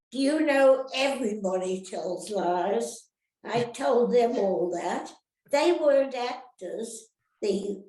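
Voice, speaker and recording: An older woman, an actress reminiscing casually in an interview, rambling and halting, often turning questions on her listeners. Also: mid-range voice, dark tone. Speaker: retired actress being interviewed. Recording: roomy, echoey sound.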